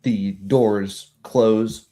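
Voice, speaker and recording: Slow, mellow, low-voiced man running a game. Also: steady tone. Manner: hesitant, halting delivery. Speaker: game master. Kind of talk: improvised tabletop game narration.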